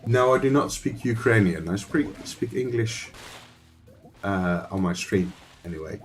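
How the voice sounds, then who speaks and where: A man's voice: lowish voice, animated, a little slow, soft background music. A man thinking aloud and chatting with viewers while playing a survival game on a live stream. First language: Dutch. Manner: rambling, thinks aloud, talks to chat.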